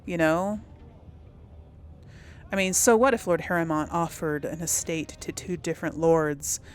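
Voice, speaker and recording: A woman's voice: low-pitched, fairly even intonation, a little slow, slight background noise. A woman giving casual commentary on a role-playing game, thinking aloud in a conversational way as its host. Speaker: gaming channel host.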